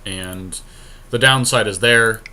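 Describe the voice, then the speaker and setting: A man's voice: on the low side, slow, bright, forceful tone. A man co-hosting a podcast, casually commentating on a card game in informal language. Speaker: podcast co-host.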